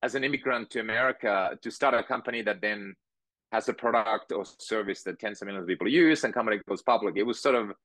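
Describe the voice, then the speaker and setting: A man, telling his story in a measured, thoughtful way as a podcast guest on a video call. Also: lowish voice, fairly bright tone, no room sound. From Finland. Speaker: guest entrepreneur. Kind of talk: interview conversation. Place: home room with bookshelves.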